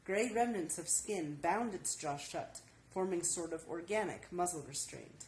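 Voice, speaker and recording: A woman's voice: fairly low pitch, a little slow, a little mellow. A woman author reading descriptive fiction aloud as a dramatic narration. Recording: echoey room with faint noise.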